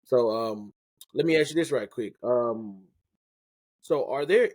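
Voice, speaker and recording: A man's voice: medium pitch, animated, a little slow, darkish tone, dry recording. Chill guy hosting, slangy, restarts his questions, dry close sound. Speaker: interview host and rapper. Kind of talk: casual interview chat.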